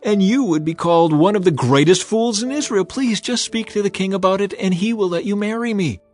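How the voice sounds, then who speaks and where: A man's voice: high-pitched, a little fast, faint music behind, pitched above the performer's own voice, lightly acted. A man voicing a desperate young princess in an urgent, pleading manner, for a dramatized scripture reading.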